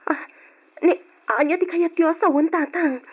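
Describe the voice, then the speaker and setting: An actress playing a poor young maid in debt, timid and pleading, in an old radio drama. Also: female voice, very high-pitched, fairly even intonation, moderate pace. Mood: humble. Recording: dull old radio recording.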